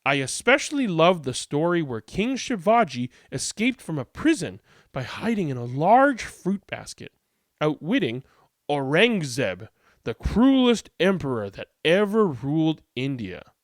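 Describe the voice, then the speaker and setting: A man's voice: on the high side, very animated, measured pace, no room sound, clean studio sound. A man hosting a read-aloud, reading picture books expressively and commenting informally. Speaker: read-aloud host and storyteller.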